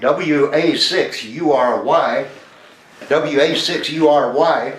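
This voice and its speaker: A man's voice: a little high, slow pace. A man chatting as an amateur radio operator, repeating details and spelling call signs phonetically. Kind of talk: conversational ham radio contact. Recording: roomy, echoing ham radio audio.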